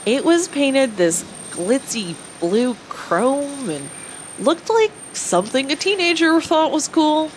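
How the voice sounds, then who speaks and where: Animated, high-voiced anxious young space pilot, played by a woman. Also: takes it slowly, a little hiss or hum, exaggerated voice, rough recording. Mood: curious narration. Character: anxious young female starship pilot telling her story. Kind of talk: first-person audio drama narration.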